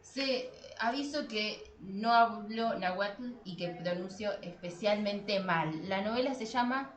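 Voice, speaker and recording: A woman's voice: mid-range voice, takes it slowly. A woman co-hosting a casual discussion podcast, talking playfully with wry asides. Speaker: podcast co-host. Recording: roomy echo, clearly noisy background.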